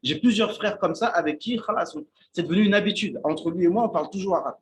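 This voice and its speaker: Halting male teacher, slightly raised pitch. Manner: halting, thinks aloud, repeats and restarts words; checks in with listeners, asks "d'accord?". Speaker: Islamic teacher giving a talk on Arabic. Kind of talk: informal spoken religious lesson. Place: indoors at a shared meal with friends.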